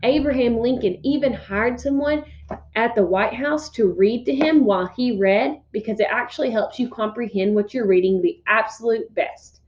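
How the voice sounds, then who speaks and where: A woman teacher giving instructions and reading aloud to pupils with emphatic, deliberate phrasing. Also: on the high side, darkish tone, dull audio. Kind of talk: instructional read-aloud, scripted narration.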